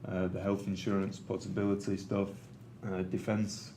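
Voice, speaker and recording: Middle-aged Mancunian man, lowish voice, relaxed presenter with lots of ums, faint room ambience. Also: moderate pace, a little hiss or hum. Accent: Manchester. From England. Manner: conversational, with frequent ums and uhs. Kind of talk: conference presentation. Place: meeting room with an audience.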